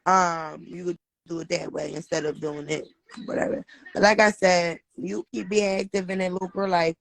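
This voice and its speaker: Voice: medium pitch, level tone, moderate pace, creaky, a bit bright, no room sound, rough recording. Earnest advice-giving host, dry sound. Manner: earnest, encouraging, repeats phrases for emphasis. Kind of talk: casual advice chat.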